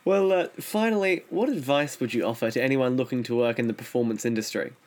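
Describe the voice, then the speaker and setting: A man's voice: medium pitch, fast, darkish tone, slight background noise. A man hosting an interview podcast, putting prepared questions to his guest in a polite, fairly formal way.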